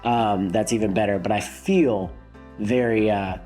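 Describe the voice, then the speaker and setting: Young worship leader, sick and stuffed up, low voice, loose reflective vlog delivery. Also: male voice, steady tone, unhurried, warm tone, soft background music. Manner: rambling, many fillers and restarts. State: congested, sick.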